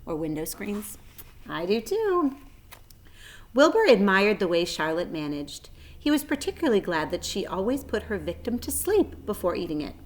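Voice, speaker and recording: A woman reading a children's story aloud like a teacher, expressive and addressing young listeners. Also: medium pitch, normal speed. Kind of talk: storybook narration.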